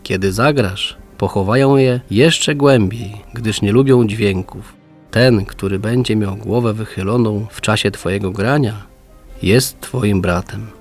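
A man's voice: fairly low pitch, unhurried, soft background music. A man playing a helpful old chief snail in a radio play, giving instructive advice. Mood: advising.

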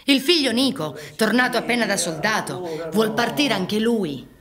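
A woman's voice: medium pitch, animated, normal speed, a crowd in the background, close to an everyday voice. A woman acting in a radio play as a lamenting, gossiping village neighbour, dramatic. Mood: mournful gossip.

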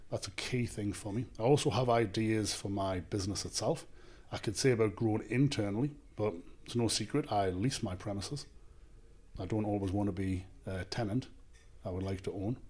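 Northern english bloke, gym owner on a podcast, lowish voice, steady and sure of himself, handheld mic in a small office. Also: male voice. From England. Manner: measured, confident, explains in lists. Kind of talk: casual interview answers. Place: small office studio indoors. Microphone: handheld microphone.

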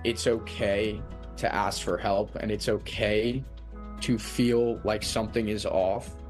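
Low-voiced young man, relaxed and plain. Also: slow, soft background music. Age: 20s. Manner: relaxed, plain-spoken, many "like" fillers. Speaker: college athlete interviewee. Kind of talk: casual interview.

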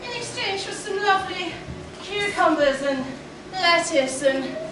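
A woman's voice: very high-pitched, animated, measured pace, background noise. A woman playing a melodramatic, doting pregnant mother in a broad, gushing pantomime style. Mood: wheedling. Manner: theatrical, gushing, exaggerated. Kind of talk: broad pantomime stage acting. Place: on stage in a small theatre. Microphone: far camera from the audience. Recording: distant, echoey theatre hall with music.

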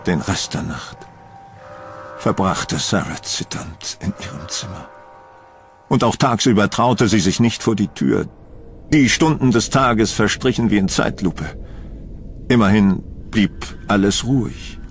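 A man narrating a scripted horror audio drama in a suspenseful, deliberate storytelling manner. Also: fairly low pitch, faint music behind.

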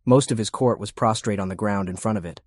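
A man's voice: fairly low pitch, fast, a little mellow, no echo, studio quality. A man reading scripted fiction as an audiobook narrator.

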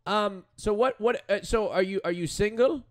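A man's voice: high voice, normal speed, no echo, studio quality. A man hosting a casual livestream panel, talking informally to his guests. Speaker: livestream show host. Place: indoor room, online group video call.

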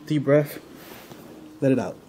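Male chiropractor, reassuring and casual, talking a patient through treatment, medium pitch, recorded in a noisy room. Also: a little slow. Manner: reassuring, coaxing. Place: treatment studio with hard floor, indoors.

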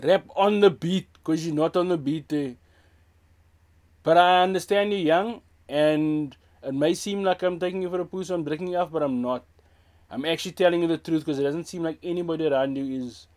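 A man in his thirties with a Cape Town South African accent and a voice pitched a little high for a man. He talks bluntly and casually, mixing in Afrikaans phrases. He is recorded in a small room with an off-camera mic. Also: brisk, darkish tone. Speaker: music reaction host. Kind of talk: casual reaction commentary. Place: small indoor room with a brick wall.

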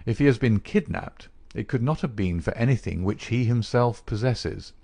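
A man reading aloud, voicing a calm, incisive male detective who questions in a measured, dry manner. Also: mid-range voice, gravelly, no echo.

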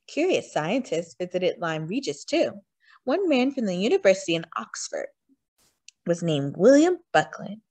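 A woman reading a children's picture book aloud in an expressive storytelling manner, drawing out words for emphasis. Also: mid-range voice, no room sound. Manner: animated storytelling with drawn-out emphasis. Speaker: storytime reader.

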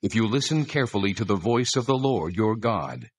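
A stern yet caring male deity giving law in a scripture audio drama. The voice is fairly deep, solemn and authoritative, recorded close and dry. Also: animated, brisk, breathy edge, no echo. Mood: solemn promise. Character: God, stern yet caring divine lawgiver.

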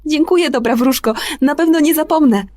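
Woman playing a high-voiced, emotional young Cinderella. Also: fairly quick, mellow, a little hiss or hum, close to an everyday voice. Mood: eager, grateful. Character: gentle young Cinderella, wistful, timid, eager girl. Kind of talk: children's fairy tale storytelling.

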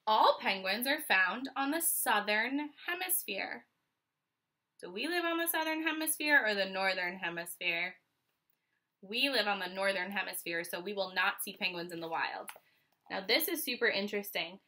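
A woman in her twenties with an American accent reads a children's picture book aloud like a teacher, pausing to explain and ask questions. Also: mid-range voice, expressive, bright, forceful tone. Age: 20s. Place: small furnished room at home, indoors.

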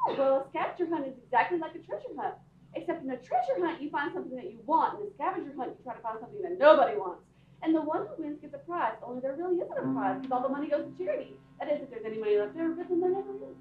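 A woman's voice: high-pitched, big pitch swings, rapid-fire, far from the mic. An actress playing a giddy, spoiled young society woman in a staged radio play, breezy and theatrical. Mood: giddy, amused. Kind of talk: staged 1930s-style radio play reading. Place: theatre stage with audience, far camera. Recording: roomy dull stage recording from afar, noisy.

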